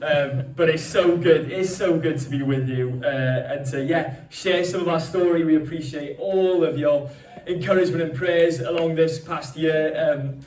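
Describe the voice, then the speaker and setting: A man's voice: fairly high pitch, normal speed, few pauses, a little mellow. A young man preaching a sermon in a chatty, storytelling way, with many ums. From Wales. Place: church hall. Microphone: handheld mic. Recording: echoey hall from a distance.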